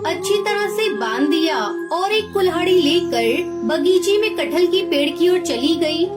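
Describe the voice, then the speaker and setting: Very high-pitched woman narrating a cartoon story. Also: unhurried, loud music behind the voice.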